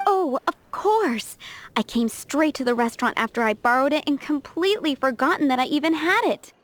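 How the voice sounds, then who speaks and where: A scatterbrained apologetic waitress. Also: female voice, high-pitched, animated, normal speed, dry recording. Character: apologetic young woman restaurant worker, scatterbrained. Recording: game cutscene with faint music behind.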